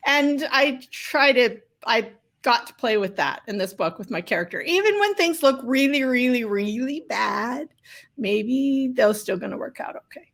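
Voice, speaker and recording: A woman author being interviewed, chatting casually and telling stories in an excitable, upbeat way. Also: a little high, measured pace. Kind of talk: casual storytelling chat.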